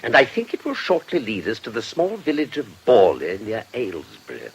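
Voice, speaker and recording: A man's voice: fairly low pitch, huge pitch swings, normal speed, slight background noise, poor audio. A man playing a genial, erudite medico-legal investigator with a British accent, precise and drily amused, in a radio drama. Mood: musing, absorbed. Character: urbane, genial male medico-legal investigator, self-satisfied.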